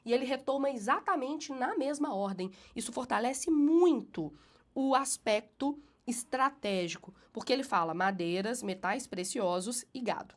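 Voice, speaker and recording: A woman's voice: a little high, lively intonation. Woman teacher with a cold, nasal voice. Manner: reads essay lines slowly with emphatic rises, then explains briskly. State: has a cold, slightly nasal. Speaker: essay-writing teacher. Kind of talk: essay correction lesson.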